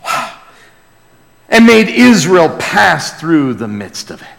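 A man's voice: fairly high pitch, very animated, slow, vocal fry, fairly bright tone. A man preaching a sermon and reading scripture aloud with emphatic, reverent delivery. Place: large church hall before a congregation. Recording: reverberant large hall, amplified live sermon.

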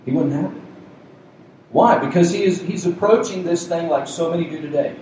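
A man's voice: on the high side, normal speed, darkish tone, distant mic. A man pastor with a soothing voice delivers a sermon in a conversational, lightly joking way. His voice sounds very echoey and set back from the mic, with a faint noise under it. It was recorded in a community center meeting room. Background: faint hum of a cooling unit.

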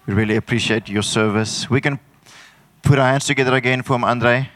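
A man hosting a church service, introducing a guest speaker in a casual, steady way. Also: mid-range voice, brisk, a little hiss or hum. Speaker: church host.

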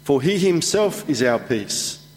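A man reading a Bible passage aloud in a slow, measured way during a church service. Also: a little high, big pitch swings. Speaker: scripture reader. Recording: long echo of a church hall.

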